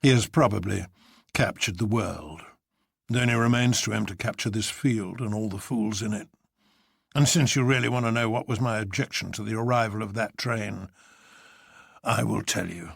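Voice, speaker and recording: Fierce inspector, read by a male narrator, gravelly, emphatic, bitterly sardonic storybook detective. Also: lowish voice, moderate pace, no room sound, clean studio sound. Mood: grimly serene. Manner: emphatic, declaiming.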